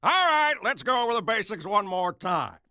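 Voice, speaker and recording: A man's voice: sits high, big pitch swings, moderate pace, pushed and bright, no room sound, clean studio sound, heavily acted. A man voicing a bossy, sarcastic cartoon bee commander who barks out military briefings. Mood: stern briefing.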